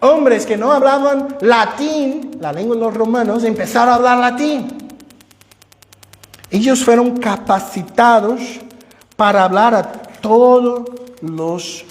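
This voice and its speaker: A pastor whose first language is Portuguese gives an expository sermon in a church, teaching with conversational asides and personal examples. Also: male voice, very high-pitched, slow. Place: church sanctuary. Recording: echoey church hall with long reverb.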